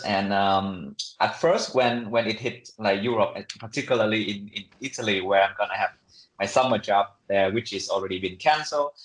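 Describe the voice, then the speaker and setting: Echoey room, young vietnamese guy w accent, lowish voice, chatty interview answers, lots of ums and likes. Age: young adult. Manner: halting, conversational, many fillers and hedges. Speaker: interview guest, international student.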